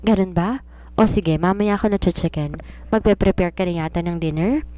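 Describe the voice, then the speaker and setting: An easygoing older Filipino judge, master of his house in a romance story, as a woman narrator puts him on. He is genial and cheerful, with a dark, relaxed tone. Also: fairly low pitch, normal speed, dry recording, lightly acted. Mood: cheerful, approving. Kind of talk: storybook character reading.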